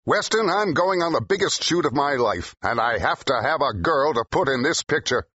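An actor playing a brash, driven film director in an audiobook drama, with a growling, forceful, emphatic delivery. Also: male voice, animated, breathy edge, no echo, dull audio, full character voice. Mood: exasperated, insistent. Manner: forceful, emphatic, fast-tongued pitchman. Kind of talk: full-cast audiobook acting, period 1930s dialogue.

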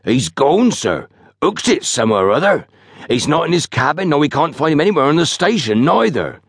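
A man's voice: fairly high pitch, sing-song, a little fast, dry recording, clean studio sound, voice pushed up. A man voicing a large, pompous, easily bewildered rustic station master in an audiobook story, with lofty dignity and uneducated grammar. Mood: perplexed. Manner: lofty, sarcastic dignity with dropped grammar and misplaced aitches.

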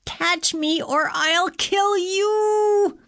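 A woman's voice: mid-range voice, expressive, moderate pace, darkish tone, no echo, clean studio sound, voice pushed up, lightly acted. A woman voicing a frustrated, emotional young goddess in an audiobook, with an emphatic, accusing way of talking. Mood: screaming, furious. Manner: exasperated, emphatic.

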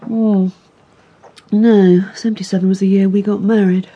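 An actress playing a weary, sharp-tongued middle-aged estranged wife, tart and dry, in radio drama dialogue. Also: female voice, mid-range voice, flat delivery, normal speed, slightly rough, lo-fi sound, close to an everyday voice. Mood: unimpressed.

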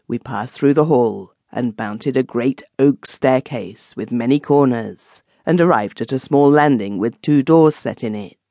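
A man reads a classic ghost story as an audiobook narrator, in a measured, literary storytelling manner. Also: medium pitch, darkish tone, dry recording.

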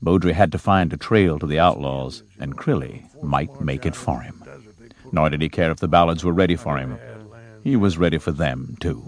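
A man narrating a scripted western story in a measured, dramatic storytelling manner. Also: extremely low pitch. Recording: music under the narration. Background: music.

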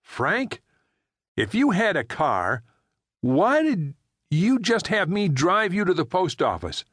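A man's voice: wildly swooping pitch, takes it slowly, fairly bright tone, slightly rough, dry recording, clean studio sound, full character voice. A man doing a frantic, bewildered driver. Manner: shrill, disbelieving questions.

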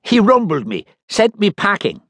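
A man's voice: sits high, huge pitch swings, normal speed, a bit bright, no echo, voice pushed up, exaggerated voice. A man voicing a crooked, servile garage mechanic. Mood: sheepish.

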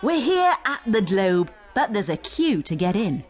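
A woman giving scripted educational narration as a guide, in an instructive, expressive storytelling manner. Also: medium pitch, a little slow, soft background music. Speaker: study guide narrator and tour guide.

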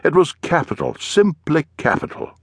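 A man voicing a pompous, talkative upper-class English gentleman adventurer in an audiobook, cheerful and effusive. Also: fairly high pitch, sing-song, normal speed, husky, no echo, clean studio sound, voice pushed up, heavily acted. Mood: breezy, self-satisfied. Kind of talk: audiobook character voice.

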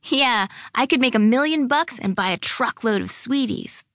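Lady doing a skeptical kid girl for an audiobook, high pitched, blunt and childish. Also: animated, moderate pace, dry recording, studio quality. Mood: amused. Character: skeptical young girl, outspoken best friend.

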